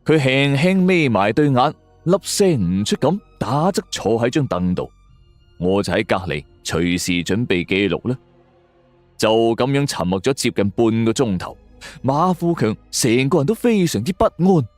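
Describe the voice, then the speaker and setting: A man's voice: mid-range voice, very animated, moderate pace, no echo. A man with a Hong Kong Cantonese accent narrating a detective story dramatically in the first person. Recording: background music under voice. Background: music.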